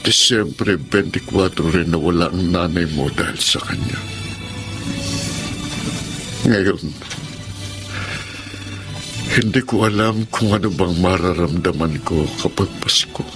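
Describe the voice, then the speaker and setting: A deep-voiced grieving old father. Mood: mournful. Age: older. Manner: slow, heavy-hearted musing. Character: grieving old widowed father, sorrowful and reflective. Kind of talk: radio drama acting. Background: music.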